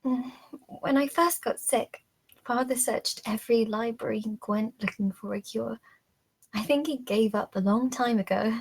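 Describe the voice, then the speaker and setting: A woman's voice: fairly high pitch, normal speed, breathy edge, poor audio. A woman playing a bitter, lonely, sickly captive in a period screenplay read, confiding and resigned. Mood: bitter, wounded.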